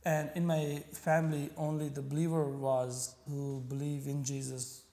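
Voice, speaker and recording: Pakistani man with a mellow voice. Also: fairly high pitch, level tone, vocal fry. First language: Punjabi. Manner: halting, searching for words, frequent fillers. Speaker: podcast guest. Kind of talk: conversational interview.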